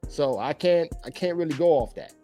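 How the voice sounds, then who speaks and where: A man talks through dating opinions in a loose, rambling, conversational way. His voice is warm and mellow, with a slight hoarseness from a cold. Faint music plays in the background. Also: fairly high pitch, moderate pace. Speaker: dating commentary vlogger. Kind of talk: casual opinionated commentary.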